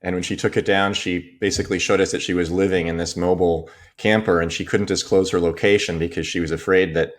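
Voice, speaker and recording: A man co-hosting a casual chat, recounting a story in a measured way. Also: low-pitched, fairly even intonation. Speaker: co-host psychiatrist.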